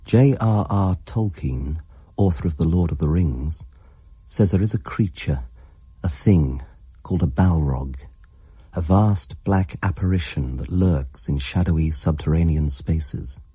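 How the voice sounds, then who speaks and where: A man narrating a radio drama in a measured, ominous storytelling manner, as a grave teller of dark lore. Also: sits low, breathy edge, no room sound, exaggerated voice.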